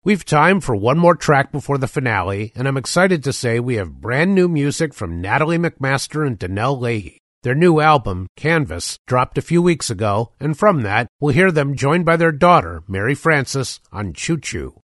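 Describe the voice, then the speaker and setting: A man's voice: mid-range voice, big pitch swings, normal speed, hardly any pauses, fairly bright tone, dry recording. Man hosting a dry radio show. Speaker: radio host.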